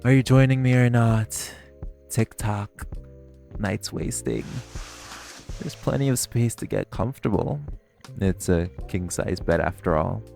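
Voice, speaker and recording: Man playing a deep, gravelly, cocky male vampire. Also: a little slow, darkish tone, soft background music. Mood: impatient, teasing. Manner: smug, taunting, drawling, playfully menacing. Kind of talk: intimate roleplay audio drama.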